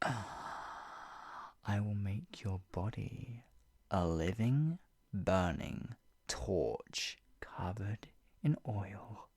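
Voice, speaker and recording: An actor playing a sadistic, gloating yandere in an ASMR roleplay, with a breathy, husky voice that often drops to a whisper. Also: sits low, sing-song, a little mellow, full character voice. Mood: menacing whisper. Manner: menacing, slow deliberate phrasing with sighs. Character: sadistic, gloating, murderous yandere obsessive taunting a captive. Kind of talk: improvised ASMR roleplay, intimate monologue.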